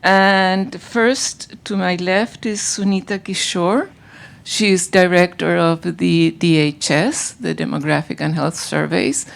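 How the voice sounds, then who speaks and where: A woman's voice: fairly low pitch, vocal fry. A woman moderating a panel discussion, introducing the speakers and asking questions with frequent restarts. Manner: hesitant, repeats words while introducing.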